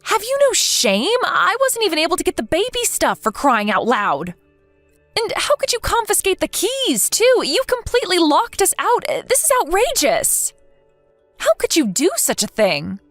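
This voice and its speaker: A woman playing a wronged mother in her forties in a dramatized story, earnest and aggrieved but measured. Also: medium pitch, animated, fairly bright tone, dry recording, soft background music. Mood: indignant. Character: wronged mother over forty, indignant yet polite.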